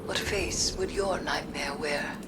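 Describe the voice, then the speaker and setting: An actress playing a solemn, haunted mystic in a video game, speaking in a grave, formal and measured way. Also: female voice, low-pitched. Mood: grave, reflective. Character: solemn, haunted mystic woman, weary and cryptic. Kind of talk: video game cutscene monologue. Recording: clear background ambient noise.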